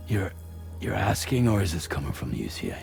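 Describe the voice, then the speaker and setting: A man playing a weary, wary loner courier in a sci-fi game, terse and halting. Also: a little mellow, heavily acted. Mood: weary, skeptical. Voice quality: low, gravelly. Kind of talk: cinematic game dialogue.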